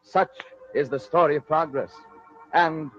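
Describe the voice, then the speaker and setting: An American man with a somewhat high voice narrates in a theatrical, measured 1940s radio style. The recording is dull and lo-fi, with some music behind him. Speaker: narrator and host of a radio drama.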